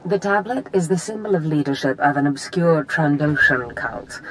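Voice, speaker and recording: A woman's voice: fairly low pitch, slight background noise, natural voice, poor audio. A woman playing a haughty, scheming Sith master in a video game, theatrical and calculating. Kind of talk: video game dramatic acting.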